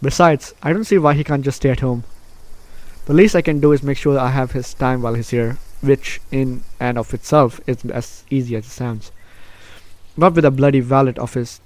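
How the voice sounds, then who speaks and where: A man's voice: mid-range voice, fairly even intonation, moderate pace, a little mellow, no room sound. A man hosting a casual let's play, chatting and reading a story game's text aloud. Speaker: gaming video host. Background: game music.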